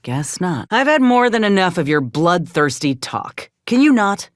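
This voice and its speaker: A frank young soldier woman from a space game crew, deep-ish voice, folksy and caring, really dry recording. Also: sing-song, unhurried, dry recording. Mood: frank, troubled, then stern, wary. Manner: earnest, plain-spoken, gently probing. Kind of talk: video game companion dialogue.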